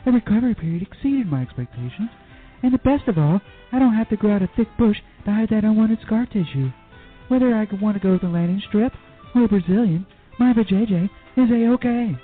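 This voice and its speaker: A cheerful, coy woman, put on by a man gives a testimonial in a parody radio ad, swinging widely in pitch. It sounds tinny, with music underneath. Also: animated, fairly quick. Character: upbeat transgender woman giving a surgery testimonial. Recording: tinny narrowband audio with music.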